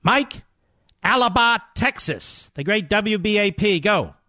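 A man's voice: sits high, lively intonation, measured pace, sharp tone, no echo, clean studio sound. A man hosting a call-in radio talk show, talking emphatically and playfully while he takes calls. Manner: emphatic, playful, swooping delivery. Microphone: studio microphone.

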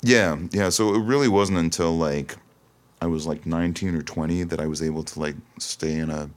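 A man's voice: low-pitched, normal speed. A man from Toronto, Canada, talking casually and reflectively as a musician guest in an interview, often hesitating. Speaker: singer-songwriter guest.

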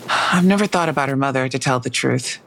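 A woman playing a guarded, weary police detective in an audio drama, with a creaky voice and a hesitant manner. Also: very deep voice, fairly quick, fairly bright tone, heavily acted. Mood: defensive. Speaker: actor in a fiction podcast. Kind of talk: naturalistic audio drama acting.

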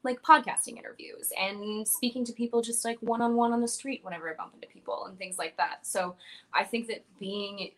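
A woman's voice: fairly high pitch, fairly even intonation, brisk. A young woman, a wrestler and former radio broadcaster, answering interview questions in a confident, chatty way with frequent filler words.

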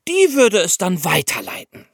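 A man hosting a podcast, telling history in a conversational, expressive storytelling style for young listeners. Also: sits high, moderate pace, vocal fry, dry recording, studio quality. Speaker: podcast host.

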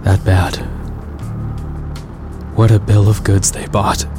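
Tired stressed space traveler, slow and muttery, sci-fi audio drama, music behind him. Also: male voice, on the low side, flat delivery. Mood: weary. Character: weary, anguished male space traveler, desperate and stubborn.

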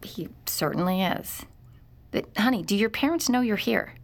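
A woman playing a kind but uneasy young boy's mother, speaking gently and hesitantly in an audio drama. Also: mid-range voice, lively intonation, normal speed, creaky. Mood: friendly, probing. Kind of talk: naturalistic audio drama acting.

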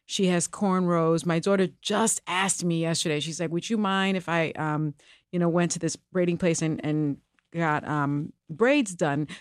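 A woman's voice: lowish voice, measured pace, no echo, studio quality. A middle-aged woman whose first language is Spanish, talking candidly and emphatically in an interview. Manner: animated, emphatic, with laughs and restarts. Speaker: co-host and guest.

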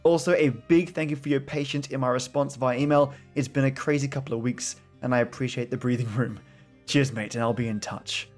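A man's voice: medium pitch, fairly even intonation, fairly quick, faint music behind. A man hosting a story podcast, signing off in a chatty, grateful manner as he thanks his supporters. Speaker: podcast host. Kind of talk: casual podcast sign-off.

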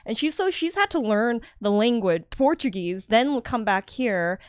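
A young woman with a somewhat higher voice talks in casual podcast chat, candid and blunt. The sound is dry and close. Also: animated, a little slow, no echo. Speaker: podcast co-host and actor.

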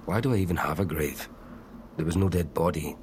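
A man's voice: low voice, a little fast, noisy background, lightly acted. An actor playing a sardonic, bewildered man in an audio drama, questioning everything in a naturalistic way. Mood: skeptical, pressing.

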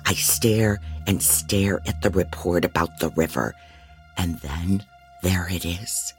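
A man's voice: sits low, takes it slowly, faint music behind. A man playing a frantic, disorganized private investigator who narrates an audio drama in a rambling, wry, self-doubting way. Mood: agitated. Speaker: narrator and lead actor. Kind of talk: first-person dramatic audio fiction narration.

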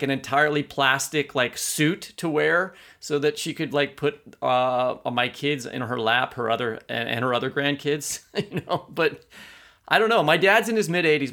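A man's voice: a little high, gravelly, a bit bright. A middle-aged man with an American accent, co-hosting an interview podcast in an informal, rambling, conversational way. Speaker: podcast co-host.

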